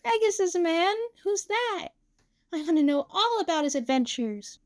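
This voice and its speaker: A woman's voice: extremely high pitch, sing-song, normal speed, no room sound, clean studio sound, voice pushed up. A woman playing a sweet, curious little girl with a childlike, questioning way of talking.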